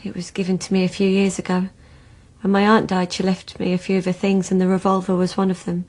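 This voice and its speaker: A woman's voice: fairly low pitch, monotone, quick pace, a little mellow, lightly acted. An actress playing a serious, subdued young woman under police questioning in a radio drama. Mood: subdued, flat. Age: young adult. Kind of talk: naturalistic radio drama acting.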